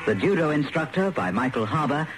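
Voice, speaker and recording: A man's voice: a little high, sing-song, fairly quick, low-quality recording. A man reading out scripted closing credits as a radio announcer. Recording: radio broadcast over loud music.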